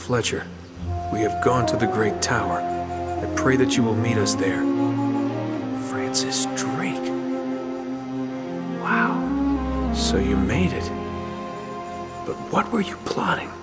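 A man's voice: fairly low pitch, lively intonation, takes it slowly, long pauses. An actor playing a wry, scrappy American treasure hunter in his thirties in a video game, sardonic and prone to muttering. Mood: musing. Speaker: protagonist of an adventure game. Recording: loud game music behind the voice. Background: loud music.

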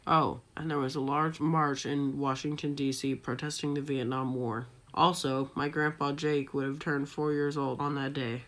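A performer voicing an excitable, sarcastic young boy adventurer, earnestly telling a scripted audio drama story. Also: mid-range voice, moderate pace, hardly any pauses, close to an everyday voice.

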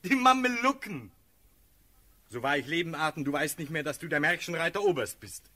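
An actor playing a brisk, sardonic count and officer in a classical German radio drama, declamatory and emphatic. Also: male voice, a little high, bright, forceful tone, no room sound. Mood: exasperated.

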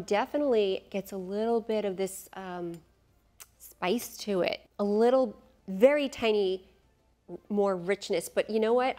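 A woman's voice: mid-range voice, a little mellow. A middle-aged woman reviewing perfumes to camera in a studio, chatty and enthusiastic, thinking aloud with fillers and asides, on a lapel mic. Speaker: fragrance reviewer. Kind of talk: casual product review to camera. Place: studio in front of a beach backdrop.

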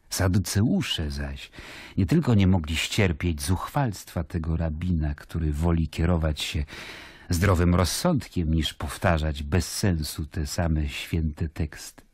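Weary roman governor in a radio drama, low dark husky voice, measured and troubled. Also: male voice, no echo, clean studio sound, exaggerated voice. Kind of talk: dramatic first-person narration in a radio play.

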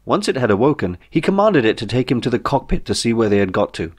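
A man's voice: mid-range voice, very animated, quick pace, breathy edge. A British man reading a comic novel as audiobook narration in a wry, droll way. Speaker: author reading own novel.

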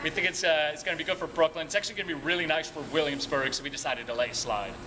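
A man's voice: on the high side, very fast. A man working as a tour guide, telling casual, humorous stories to a group in a colloquial way. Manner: chuckles at own anecdotes, colloquial. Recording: noisy room, background music at times.

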